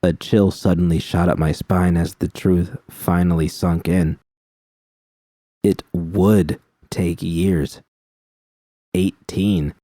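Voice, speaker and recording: A man narrating first-person fan fiction in a measured, steady storytelling manner. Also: deep voice, no room sound, studio quality. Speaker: reader.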